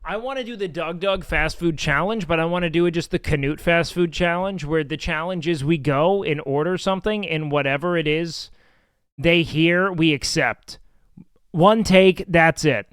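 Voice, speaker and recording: A man with a nasal voice chatting casually on his live stream, loud and excitable, swearing often. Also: on the high side, moderate pace, smooth, dry recording. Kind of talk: casual live-stream chat reacting to clips. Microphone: studio mic with noise gate.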